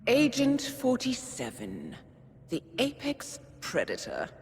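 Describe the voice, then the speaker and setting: An actress with a British accent playing a composed, coolly cutting intelligence handler in a video game, speaking in a measured, articulate way. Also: female voice, on the high side, wildly swooping pitch, vocal fry, a little mellow, a little hiss or hum, full character voice. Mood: cold, taunting. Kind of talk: cinematic video game acting. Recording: dramatic music behind the voice.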